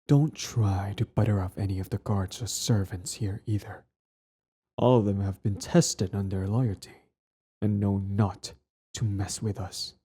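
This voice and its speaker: A man playing a cold, menacing young villain prince in an ASMR roleplay, with a taunting, condescending drawl and soft threats that sink toward a whisper. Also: lowish voice. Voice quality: husky, breathy, dropping into whispers.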